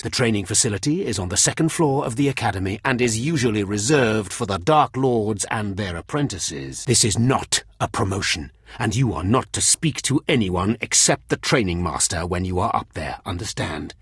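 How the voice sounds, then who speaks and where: Sci-fi game villain: Sith overseer, low and very raspy, imperious, sneering contempt, menacing delivery, dry studio sound. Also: male voice, expressive, a little fast, hardly any pauses, fairly bright tone, dry recording, full character voice. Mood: contemptuous. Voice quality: raspy, husky. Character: cruel, contemptuous Sith overseer, a grown man. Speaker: video game voice actor. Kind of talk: scripted video game dialogue.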